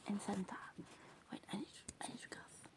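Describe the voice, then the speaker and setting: A woman with English as a second language, whispering softly in a rambling ASMR show-and-tell full of fillers. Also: moderate pace. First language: not English. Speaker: ASMR creator.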